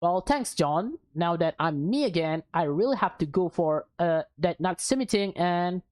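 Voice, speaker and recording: A woman voicing a flustered, evasive teenage schoolgirl from a visual novel, halting and eager to get away. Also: on the low side, animated, a little mellow, lightly acted. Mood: awkward, hurried. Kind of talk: visual novel character read-aloud. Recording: background music under the voice.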